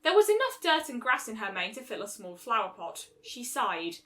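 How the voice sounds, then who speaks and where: Lively, bright-voiced woman reading a story. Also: on the high side, normal speed. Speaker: fan fiction reader. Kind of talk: scripted story narration.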